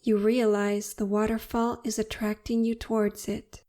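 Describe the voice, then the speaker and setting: Woman, meditation guide, soft mellow tone, slightly high pitch, deliberate soothing phrasing. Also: level tone.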